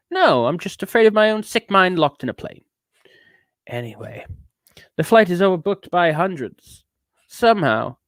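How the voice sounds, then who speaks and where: A man's voice: high voice, no echo, studio quality, pitched above the performer's own voice. A man voicing a bitter, neurotic ranter in a theatrical dramatic reading, with a put-on accent that shifts around. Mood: edgy, nervous bravado. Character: edgy, bitter, ranting neurotic man telling a travelogue. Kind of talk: dramatic reading of a rambling rant.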